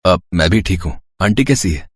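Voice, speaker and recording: A man's voice: low-pitched, animated, moderate pace, breathy edge, dry recording. A man voicing a friendly, earnest college student in an audio story, boyish and a little hesitant. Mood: friendly, coaxing. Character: friendly, earnest young male college student, rich builder's son.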